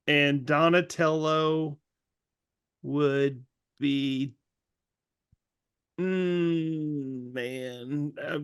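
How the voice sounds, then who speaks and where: A man co-hosting a podcast in casual, joking chat, halting as he thinks his way through aloud. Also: fairly high pitch, fairly bright tone, clean tone. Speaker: podcast co-host.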